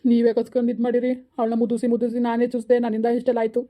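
Woman playing a fast, bitter village parent. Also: fairly high pitch, level tone, mellow, lightly acted. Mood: bitter, angry. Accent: rural southern Karnataka. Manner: lamenting, emphatic repetition. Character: bitter, heartbroken village parent disowning a daughter. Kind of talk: amateur family melodrama.

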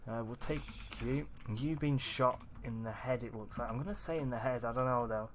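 A young man with a Manchester accent, rambling excitedly over a video game, his morning voice groggy and deep. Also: normal speed, slight background noise. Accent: Manchester English. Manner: rambling, excitable, swears often. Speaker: let's play gamer. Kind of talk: casual gameplay commentary.

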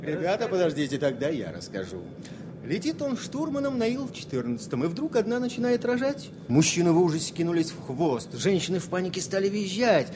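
Animated, bantering co-pilot. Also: male voice, high voice, fairly quick. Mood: teasing. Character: jovial, teasing male co-pilot, talkative and optimistic. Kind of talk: 1970s Soviet radio play acting. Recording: noisy vintage radio-play recording, background hum.

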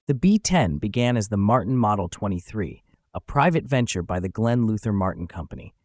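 Man, low voice, documentary narration, dry close sound. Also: a little fast, dry recording. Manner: even, factual reading of specs and dates.

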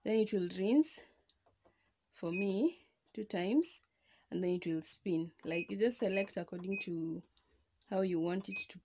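A woman's voice: mid-range voice, mellow, slight background noise. A young woman, casually showing at home how she does a chore step by step. She talks loosely, with restarts. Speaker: vlogger. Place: indoors at home beside a washing machine.

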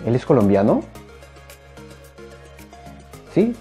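A young man teaching a language lesson to camera in an emphatic, animated manner, repeating phrases. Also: mid-range voice, a little fast, soft background music. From Peru. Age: young adult. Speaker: Spanish teacher. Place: small indoor room, plain wall. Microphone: clip-on lapel mic.

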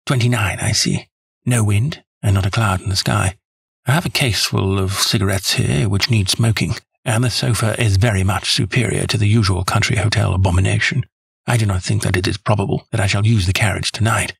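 A man reading an audiobook, voicing a calm, incisive detective who speaks in a measured, precise way. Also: on the low side, vocal fry, husky, no echo, studio quality, full character voice. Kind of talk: audiobook character voice.

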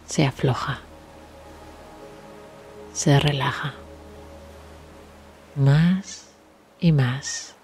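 A woman leading a guided relaxation meditation in a soft, breathy, near-whispered voice, soothing and gentle, with long pauses. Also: low voice. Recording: steady ambient sea sound behind voice. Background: sea waves ambience.

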